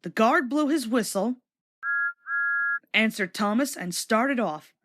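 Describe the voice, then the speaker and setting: A woman's voice: a little high, expressive, takes it slowly, a bit bright. A woman reading a children's story aloud as a scripted read-along narrator.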